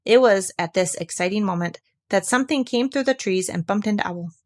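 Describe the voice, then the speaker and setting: A woman's voice: mid-range voice, brisk. A woman reads a children's storybook aloud in a mid-range voice. It is scripted narration, delivered as a story read to listeners. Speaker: storybook reader.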